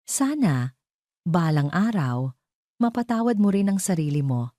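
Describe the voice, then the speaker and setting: Composed, low-voiced woman of quiet firmness, narrator-played. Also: no echo, clean studio sound. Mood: calm, firm. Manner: calm, measured, firm. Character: composed, self-possessed woman forgiving her former love.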